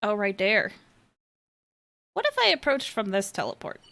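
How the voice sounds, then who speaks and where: A woman's voice: medium pitch, huge pitch swings, normal speed, creaky, pushed and bright. A woman giving casual live commentary as a video game streamer, playful and chatty.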